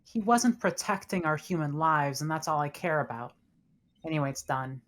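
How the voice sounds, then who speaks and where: A woman's voice: low-pitched, animated, moderate pace. A woman reading the part of a tough, suspicious, decisive ship's officer in a script table read, firm and blunt. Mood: distrustful.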